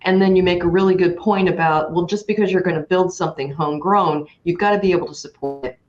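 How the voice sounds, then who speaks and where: A woman's voice: on the low side, fairly even intonation, quick pace, darkish tone, dull audio. A woman hosting a conversational interview, affirming her guest and checking in as she makes her points. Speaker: podcast host, interviewer.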